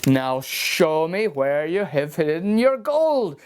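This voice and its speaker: A woman's voice: deep voice, sing-song, slow, a little mellow, exaggerated voice. A woman voicing a stubborn, clever poor farm lad who speaks firmly. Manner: firm, insistent.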